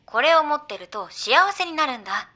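Lively, high-pitched slangy idol girl. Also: a little fast. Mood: cheerful. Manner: slangy, girlish, reactive. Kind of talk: Japanese anime audio drama, naturalistic banter.

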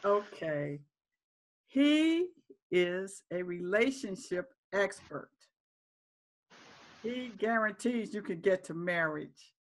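Middle-aged woman hosting, mid-pitched. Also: animated, takes it slowly, pauses often. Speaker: talk show host. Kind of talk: conversational interviewing. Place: indoor room. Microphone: video call.

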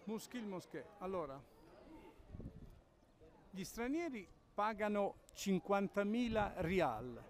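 A man filming a casual travel vlog on a handheld action camera, chatting and slowly repeating words to locals in an outdoor bazaar and mosque courtyard. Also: high voice, very animated. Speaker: travel vlogger. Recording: busy crowd noise outdoors.

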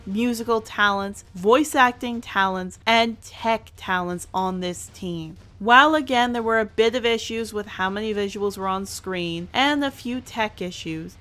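A woman's voice: mid-range voice, fairly bright tone. Earnest woman reviewing over background music. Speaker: host and reviewer. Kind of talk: scripted review narration.

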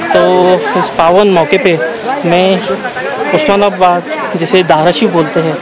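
A man, casually narrating a travel vlog as he walks, pointing things out to viewers in an unhurried way. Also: high voice, fairly even intonation, a loud crowd. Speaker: travel vlogger. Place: outdoors on a forested trail by a stream and waterfall. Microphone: handheld camera at arm's length. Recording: noisy outdoors with rushing water.

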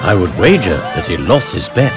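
An actor playing a calm, courteous gentleman in an animated game, speaking in a polite, formal manner. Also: deep voice, normal speed, over loud music. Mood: composed, gracious. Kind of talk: animated game cutscene acting.

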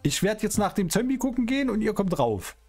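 Guy streaming a roleplay game, kinda high husky voice, drawn out teasing way of talking. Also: very animated, fairly quick, darkish tone. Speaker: streamer playing a role-play game. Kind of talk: casual in-character gaming chat. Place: indoor streaming room. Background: music.

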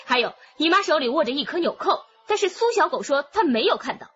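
A woman's voice: high voice, very animated, a bit bright, muffled recording, soft background music. An actress playing a composed, methodical policewoman with an authoritative delivery in a radio drama. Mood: probing. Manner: measured, authoritative. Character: composed, methodical female police officer presenting evidence.

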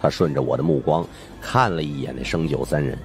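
A man's voice: rumbling low, brisk, soft background music. A man narrating a suspense audiobook in the first person, reading from a script.

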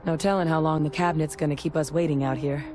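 A woman playing a candid, measured military veteran in a video game, speaking deliberately. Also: low-pitched, fairly even intonation, background noise, close to an everyday voice. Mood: measured, reassuring. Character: measured, candid female soldier-scientist, war veteran.

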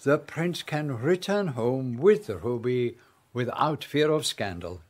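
A man's voice: mid-range voice, animated, takes it slowly, a little mellow. A man playing a precise, formal Belgian detective in a radio play. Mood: measured. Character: fussy Belgian detective, middle-aged man. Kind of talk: radio drama acting.

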